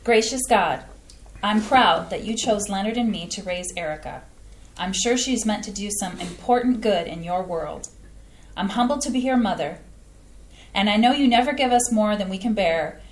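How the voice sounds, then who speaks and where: A woman's voice: mid-range voice, slight background noise, close to an everyday voice. A woman in a staged play reading playing a churchgoing middle-aged mother with a soothing, folksy way of talking. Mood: prayerful. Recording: roomy playhouse stage sound.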